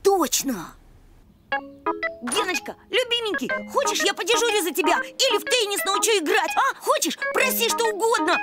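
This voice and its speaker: A woman voicing an eager, excitable, football-mad schoolboy in an animated cartoon. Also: very high-pitched, normal speed. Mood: pleading. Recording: loud music under the voice.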